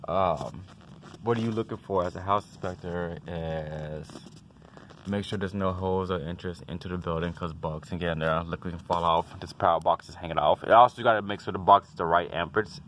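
A very low-pitched man talks through a home inspection in a loose, rambling way, brushing things off with offhand remarks. He holds a phone camera as he walks around the outside of a house and down into a basement. A faint hiss of background noise stays under his voice. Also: fairly even intonation, fairly quick, fairly bright tone. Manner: rambling, offhand, dismissive asides. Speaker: house inspector and HVAC tech. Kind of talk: casual walkthrough tutorial. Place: outdoors beside a house, then a basement utility room. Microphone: handheld phone camera.

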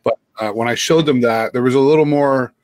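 A middle-aged man co-hosting a casual video call, talking with animated gestures and frequent restarts. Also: mid-range voice, brisk, no echo. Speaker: co-host and teacher. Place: home room with framed pictures. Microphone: webcam mic on a video call.

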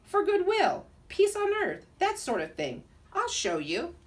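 Woman putting on a gruff, lively Nutcracker. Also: extremely high pitch, unhurried, pitched above the performer's own voice, exaggerated voice. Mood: jovial. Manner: gruff, hearty. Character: jovial gruff male Nutcracker ornament, big beard. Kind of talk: storybook character read-aloud. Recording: distant mic in a room.

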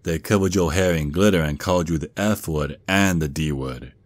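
Very deep Southern werewolf dad played by a man. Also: no room sound. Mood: alarmed, indignant. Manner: doting, folksy, slow drawl with pet names. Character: protective Southern werewolf father, gruff military sergeant. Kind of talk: ASMR roleplay acting.

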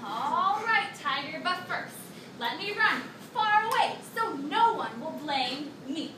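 Very high-pitched, tricky little mouse deer played by a woman. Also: animated, takes it slowly, heavily acted. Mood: mock concern. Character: small, quick-witted, tricky female mouse deer. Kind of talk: children's readers theatre performance. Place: classroom, indoors. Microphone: distant camera. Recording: echoey classroom from far, noisy.

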